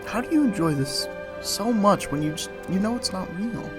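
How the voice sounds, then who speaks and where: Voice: mid-range voice, animated, moderate pace, natural voice. An actor voicing Billy, an earnest, disillusioned young alien hunter with a wounded air, in an audio drama. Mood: hurt. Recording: music under the voice.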